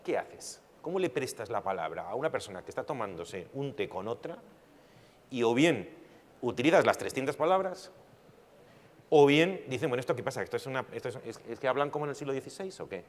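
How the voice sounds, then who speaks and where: A man's voice: medium pitch, expressive, brisk. A man making a reflective argument on a public panel, using rhetorical questions. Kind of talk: panel discussion. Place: large hall at a public panel. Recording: hall reverb with faint noise.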